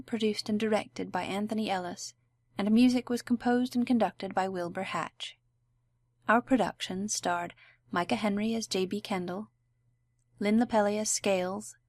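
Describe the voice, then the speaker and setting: A woman's voice: mid-range voice, a little mellow, dry recording. A woman announcer reading scripted closing credits for a radio drama in a formal announcing manner.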